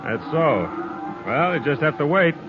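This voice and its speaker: Folksy, stubborn trail boss, muffled radio. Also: male voice, on the high side, expressive, unhurried, noisy background. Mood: wary, firm. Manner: plain-spoken, unbudging. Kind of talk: 1950s radio western acting. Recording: muffled old radio, loud outdoor effects.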